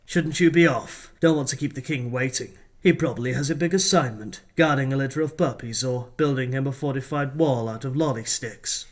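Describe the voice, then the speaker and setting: A man's voice: medium pitch, brisk, few pauses, close to an everyday voice. A man playing an arrogant, sardonic, brainy dealer in a comic audio drama, speaking in a theatrical, pompous way.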